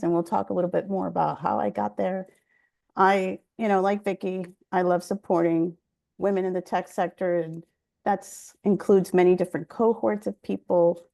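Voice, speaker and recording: Woman from Venezuela with a gravelly, mellow voice. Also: medium pitch. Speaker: panelist, nonprofit chapter president. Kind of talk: conversational panel introduction.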